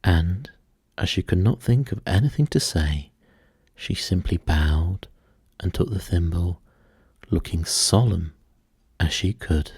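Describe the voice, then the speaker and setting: A man narrates a bedtime storybook at a very unhurried pace. His voice is dark and mellow with a husky edge, and he keeps a hushed, measured, soothing delivery made for sleep. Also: low-pitched, dry recording, studio quality.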